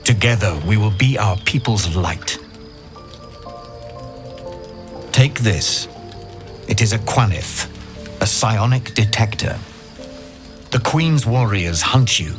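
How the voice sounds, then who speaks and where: An actor playing a solemn githyanki knight and secret rebel in a fantasy game, speaking in a deliberate, formal way. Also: male voice, on the low side, animated, music in the background. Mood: solemn, resolute. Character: grave githyanki knight, a secret rebel against his queen. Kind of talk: dramatic fantasy game acting.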